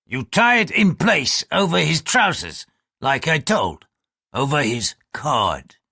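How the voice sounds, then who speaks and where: A man's voice: fairly high pitch, big pitch swings, slow pace, vocal fry, sharp tone, breathy edge, dry recording, studio quality, full character voice. A man voicing a crude, lecherous male ship's bosun who leers and threatens. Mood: gruff. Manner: coarse, leering, threatening.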